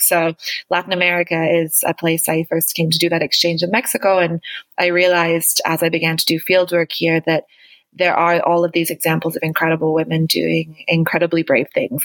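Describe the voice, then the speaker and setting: A woman in her thirties from Canada, speaking as a guest researcher with a fairly low voice. She talks earnestly and thoughtfully, often restarting a phrase as she goes. The sound is dry and close. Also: a bit bright, no echo. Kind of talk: conversational interview.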